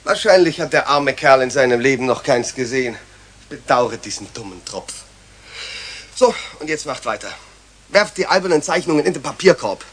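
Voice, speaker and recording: A man's voice: mid-range voice, expressive, moderate pace, a bit bright, a little hiss or hum, close to an everyday voice. An actor playing a stern, sarcastic schoolteacher who scolds his class in a 1970s children's radio play. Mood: scornful. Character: stern, scornful male schoolteacher, irritated and strict.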